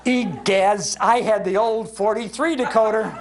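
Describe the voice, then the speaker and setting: An older man with an American accent giving a talk on old-time radio, telling humorous anecdotes in an animated way and acting out the lines he quotes. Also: sits high, a little slow, background noise. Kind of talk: humorous anecdotal storytelling to a live audience. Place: public library talk.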